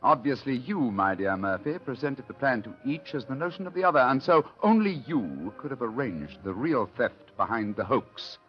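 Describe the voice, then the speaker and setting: A muffled vintage radio drama recording with music. A male actor plays a shrewd British gentleman sleuth, courteous and theatrical. His delivery swings widely in pitch and sounds grandly amused. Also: animated, normal speed, few pauses, natural voice. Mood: amused, triumphant. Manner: suave, amused, grandly formal. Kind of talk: old-time radio mystery acting.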